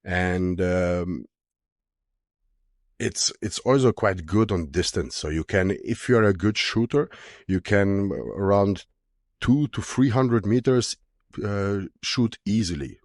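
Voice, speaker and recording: A man's voice: lowish voice, slow pace, no room sound, clean studio sound. A man in his thirties with an Austrian German accent, raised in Austria after being born in Romania, answering interview questions casually with many fillers and restarts. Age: 30s. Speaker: guest, strongman athlete.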